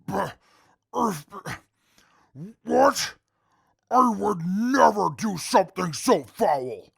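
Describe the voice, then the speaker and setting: An actor playing a grandiose, easily offended super mutant with a gravelly, theatrical way of speaking in an audio drama. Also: male voice, high-pitched, big pitch swings, very slow, fairly bright tone, dry recording, studio quality, heavily acted. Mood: indignant, pompous. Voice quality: gravelly, raspy. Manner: pompous, theatrical, formal diction. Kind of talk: post-apocalyptic audio drama acting.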